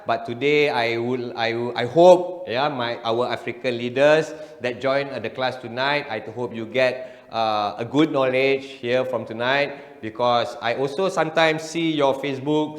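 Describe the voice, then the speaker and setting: A man's voice: medium pitch, roomy sound, in a large hall. A man with a Malaysian accent presenting a skincare product on a video call, friendly and joking. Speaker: business development manager presenting a product. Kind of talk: online product presentation and class wrap-up.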